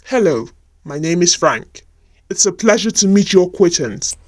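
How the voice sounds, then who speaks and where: A woman voicing a suave, confident young male chief executive in a romantic story, with a smooth, courteous, formal manner. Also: lowish voice, lively intonation, normal speed, dry recording, pitched below the performer's own voice. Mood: courteous, charmed. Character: Frank, suave confident wealthy young male CEO in his twenties.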